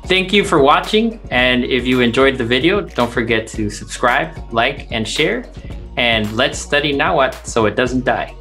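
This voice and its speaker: A man's voice: medium pitch, lively intonation, moderate pace, bright, forceful tone. A man teaching in a scripted language lesson, explaining words and their meanings step by step. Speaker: teacher, translator. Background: music.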